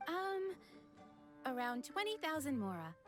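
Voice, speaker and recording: A woman's voice: high voice, very animated, a little slow, soft background music. A woman playing a polite, eager restaurant waitress in a video game, attentive and sales-like.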